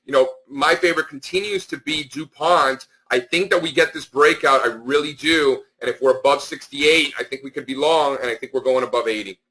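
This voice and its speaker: A man's voice: medium pitch, a little fast, few pauses, sharp tone, low-quality recording. A man speaking informally as a market analyst on a conference call, with an emphatic, opinionated, slangy way of talking. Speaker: stock market analyst hosting a members call.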